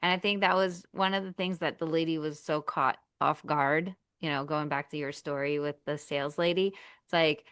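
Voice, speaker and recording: A woman's voice: low voice, fairly even intonation, moderate pace, fairly bright tone, smooth, no room sound, studio quality. A woman hosting a podcast as a coach, speaking reflectively and with emphasis. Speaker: podcast host, marriage coach. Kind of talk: casual conversation.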